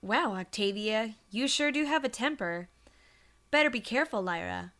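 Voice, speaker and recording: A woman acting an excitable, playful pony in a fan audio drama, gleeful and teasing. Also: a little high, moderate pace.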